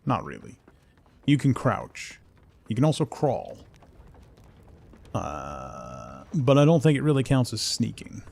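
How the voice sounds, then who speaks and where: A man's voice: mid-range voice, wildly swooping pitch, slow pace, vocal fry, pauses often, a little mellow. A man giving casual live game commentary, playfully muttering asides and short exclamations as he chats to viewers. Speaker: game streamer.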